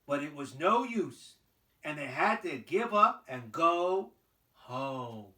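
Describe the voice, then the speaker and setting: A storyteller narrating a scripted folktale with theatrical, deliberate phrasing, as for children. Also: mid-range voice, big pitch swings, bright, forceful tone, far from the mic. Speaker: puppet show storyteller. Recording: roomy, voice away from microphone.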